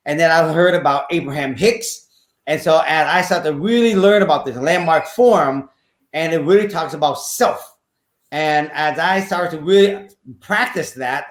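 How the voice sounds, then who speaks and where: Man in his 50s from vietnam telling his story on a podcast, kinda high voice, echoey room, repeats himself a lot. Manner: earnest, repeats phrases, slightly nonstandard English grammar. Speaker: podcast guest, real estate investor. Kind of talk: casual interview storytelling.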